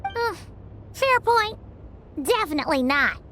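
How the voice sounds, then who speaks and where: Squeaky, chirpy little fairy companion. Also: female voice, sing-song, measured pace, pushed and bright, a little hiss or hum, exaggerated voice. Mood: impressed, musing. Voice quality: squeaky, childlike. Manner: perky, chatty. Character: small, chirpy female fairy companion, childlike and chatty. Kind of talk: video game voice acting.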